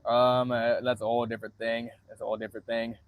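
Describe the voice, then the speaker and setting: Male livestreamer, average pitch, monotone delivery, halting with repeated phrase starts, casual and sweary. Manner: frequent swearing. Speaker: livestreamer answering viewer chat.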